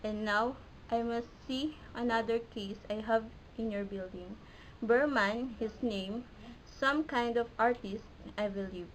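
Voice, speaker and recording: A woman's voice: fairly high pitch, a little slow, slight background noise, natural voice, poor audio. A woman playing a grave, matter-of-fact doctor in an amateur classroom puppet play.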